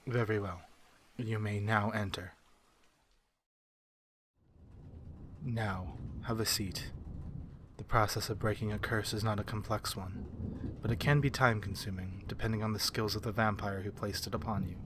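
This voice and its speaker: A man voicing a courteous, learned vampire in an ASMR fantasy roleplay, speaking formally and with measured, archaic phrasing. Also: on the low side, fairly even intonation, noisy background, natural voice. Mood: formal, composed. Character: courteous, learned, human-friendly male vampire curse-breaker.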